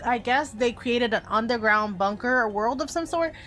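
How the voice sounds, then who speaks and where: A woman's voice: on the high side, moderate pace, a bit bright, faint music behind. A woman hosting a casual game list video, talking informally and conversationally with offhand asides. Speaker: video game YouTuber, host. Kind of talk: casual top-ten list commentary.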